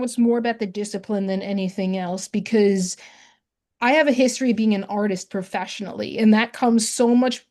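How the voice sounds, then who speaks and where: A woman poet reads her work and then answers the host's questions plainly and directly. Her voice sits at a middle pitch and comes through a computer mic on a video call. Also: mellow. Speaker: poet reading at an open mic. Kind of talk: poetry reading and casual chat.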